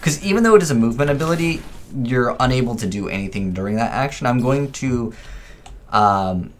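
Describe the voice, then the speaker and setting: A man with a fairly low voice talks casually through gameplay, working things out aloud as he goes. He hesitates and questions himself often, with noticeable noise behind him. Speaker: gaming video creator. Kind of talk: casual off-the-cuff gameplay commentary.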